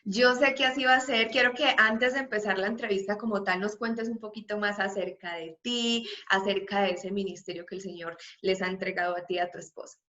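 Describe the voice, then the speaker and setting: A woman hosts an interview, introducing her guest warmly and with enthusiasm. Her voice sits at an ordinary mid pitch. The audio is somewhat dull and lo-fi. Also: fairly even intonation, brisk, few pauses. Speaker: interview host.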